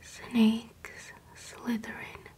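A woman whispering playfully as an ASMR creator in a small decorated room indoors. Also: very slow.

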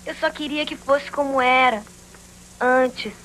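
Casting: worried little girl, high and bright, timid, childlike cartoon delivery. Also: steady tone, slow, low-quality recording. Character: sweet, worried little sister. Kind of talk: dubbed cartoon acting. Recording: old cartoon soundtrack with music.

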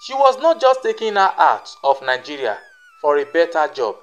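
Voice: mid-range voice, very animated, normal speed, dull audio. A narrator reading a scripted folktale as a storyteller.

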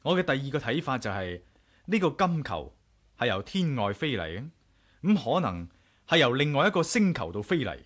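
An actor with a Hong Kong Cantonese accent playing a curious, self-assured adventurer in a radio drama, frank and measured. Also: male voice, medium pitch, big pitch swings, a bit bright. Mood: frank, speculative. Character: curious, bold male adventurer narrating his own tale. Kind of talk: radio drama acting and narration.

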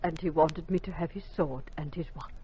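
A woman playing a solemn, knowing soldier's mother in a 1950s radio drama, quietly insistent. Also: low-pitched, fairly quick, close to an everyday voice. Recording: muffled, noisy old 1950s radio recording.

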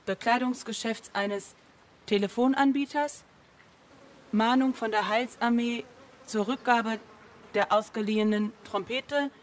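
A woman playing a monotone computer in a radio play, mechanically reading out lists of incoming mail. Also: on the high side, a little hiss or hum. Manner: flat, mechanical reading of a list.

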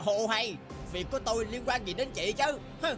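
A woman's voice: a little high, moderate pace, lightly acted. Woman voicing a brusque young bully rooster, music behind. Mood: annoyed. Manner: brusque, dismissive. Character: selfish, bad-tempered young male rooster, a bully. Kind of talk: children's cartoon fairy tale dubbing.

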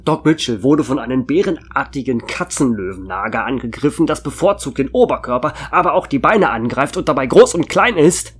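A man's voice: mid-range voice, lively intonation, a little fast, few pauses, slight background noise, natural voice. A man playing a commanding, sarcastic and impatient police chief in a German horror radio play. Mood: stern, sarcastic. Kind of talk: German horror audio drama acting.

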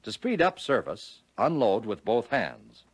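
An American man reading scripted narration for a 1940s instructional film, giving key phrases measured, instructive emphasis. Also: mid-range voice, huge pitch swings, breathy edge, dry recording.

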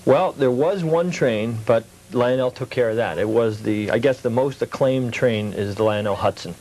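A man's voice: medium pitch, normal speed, darkish tone, low-quality recording. A man speaking as an interview guest, telling things in a casual, conversational way. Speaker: interview guest, hobby collector.

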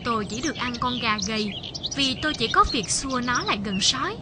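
A woman voicing a sly, polite, quick-witted little fox in an animated fable. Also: a little high, noisy background, natural voice. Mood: flattering, pleading.

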